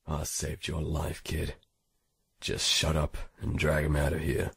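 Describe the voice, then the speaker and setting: A man playing a cold, gruff killer in a horror audio drama, with a gravelly, menacing voice. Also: very deep voice, monotone, measured pace, dry recording, clean studio sound, full character voice. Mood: curt, irritated.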